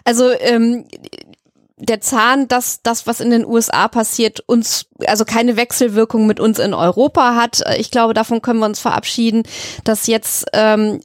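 A woman's voice: on the high side, no echo, clean studio sound. A woman hosting a podcast interview in a casual conversational style.

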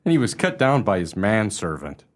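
A man's voice: on the low side, very animated, normal speed. A man acting a gossipy, courteous junior officer in a radio play, with genteel period speech. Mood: gossipy, amused.

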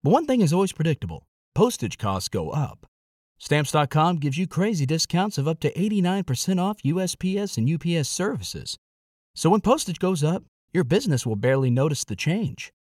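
Lively upbeat male ad announcer. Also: on the high side, moderate pace, dry recording, clean studio sound. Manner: upbeat, punchy sales delivery. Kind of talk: scripted radio ad read.

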